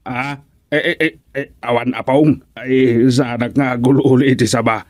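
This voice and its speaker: A man's voice: mid-range voice, fairly even intonation, normal speed, dry recording, studio quality, lightly acted. A man playing a flustered villager in a comic radio serial, hesitant and stammering. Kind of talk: comedic radio serial acting.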